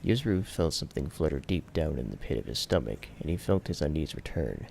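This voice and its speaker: A man's voice: very deep voice, big pitch swings, a little fast, gravelly, darkish tone, slight background noise. A man giving a serious, dramatic reading of fiction as an audiobook narrator.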